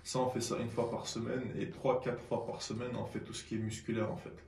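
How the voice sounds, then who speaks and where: A man's voice: medium pitch, flat delivery, a little fast, gravelly, darkish tone. A man explaining as a sports guest in an interview, often repeating words as he goes. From born in Siberia, moved to France aged 10. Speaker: arm-wrestling athlete, radio guest. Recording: roomy sound with faint noise.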